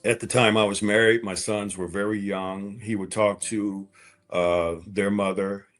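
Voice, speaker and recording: Deep-voiced man telling his personal story. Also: flat delivery, a little slow, faint music behind. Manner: conversational, repeats phrases for emphasis. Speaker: interview guest, coach.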